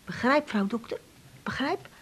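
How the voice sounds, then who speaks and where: Slow, husky, homesick Turkish woman. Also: fairly high pitch, expressive, full character voice. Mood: gently insistent. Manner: halting, broken sentences. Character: homesick Turkish migrant wife, grateful, gently insistent. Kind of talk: radio drama acting.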